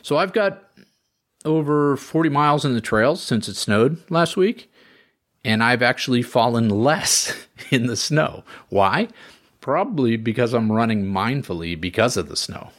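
A middle-aged man from New England hosting a podcast in a relaxed, folksy, encouraging way. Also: mid-range voice, unhurried. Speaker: podcast host. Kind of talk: podcast monologue and interview.

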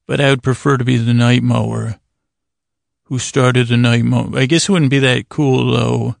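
A man with a creaky voice hosting a bedtime podcast, talking in a soothing, meandering monologue with halting pauses and digressions. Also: mid-range voice, steady tone, no room sound, clean studio sound. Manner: soothing, lulling delivery. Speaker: podcast host.

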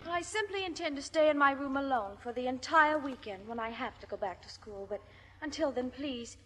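High-voiced, melodramatic American teenage girl. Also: animated, a little fast, a bit bright, in a large hall. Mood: haughty, sulky. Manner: theatrical, affectedly formal. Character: melodramatic, sulky, self-pitying teenage daughter. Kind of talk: 1950s radio acting.

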